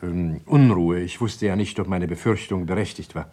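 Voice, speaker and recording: An actor playing an uneasy, guarded doctor in a German radio crime drama, speaking haltingly and evasively. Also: male voice, low voice, warm tone. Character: uneasy male doctor, guarded jealous husband.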